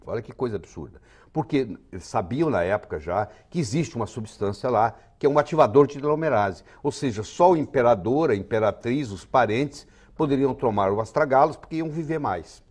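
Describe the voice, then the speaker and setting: Husky older Brazilian man explaining. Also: medium pitch, expressive, fairly quick, a little mellow. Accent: Brazilian Portuguese. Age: 60s. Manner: confident, lecturing explanations. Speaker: physician guest. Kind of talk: TV interview.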